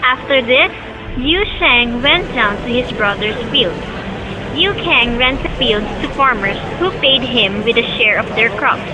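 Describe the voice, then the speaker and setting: High-voiced young woman narrating a story. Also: expressive, measured pace, music in the background, poor audio. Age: young adult. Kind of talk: scripted storybook narration in amateur audio drama.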